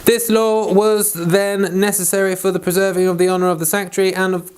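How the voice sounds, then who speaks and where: A British man with a fairly high-pitched voice reads and teaches scripture. His delivery is informal and rambling, and he tends to interrupt himself as he explains. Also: level tone, a little fast, darkish tone, clean tone. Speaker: Bible reader and teacher. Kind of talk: read-aloud scripture and informal commentary.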